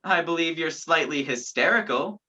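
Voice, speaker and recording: A young woman playing a sceptical, gallant gentleman in an amateur radio play, with a theatrical, incredulous manner. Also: low-pitched, lively intonation, normal speed, exaggerated voice. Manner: incredulous, theatrical, old-fashioned phrasing. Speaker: student actor in a school radio play. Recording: dull lo-fi home recording.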